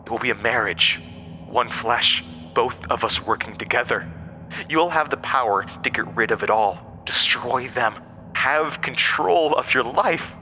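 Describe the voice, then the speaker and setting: An actor playing an ancient monster, a persuasive, scornful tempter who speaks with theatrical, deliberate emphasis in a horror audio drama. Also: male voice, mid-range voice, lively intonation, pushed and bright, a little hiss or hum, exaggerated voice. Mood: coaxing, insistent.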